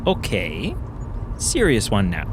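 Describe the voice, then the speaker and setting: An actor playing a dry, wisecracking young man who answers with deadpan sarcasm. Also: lowish voice, slow, exaggerated voice. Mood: sarcastic. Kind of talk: comedic audio drama acting. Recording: noisy car ambience.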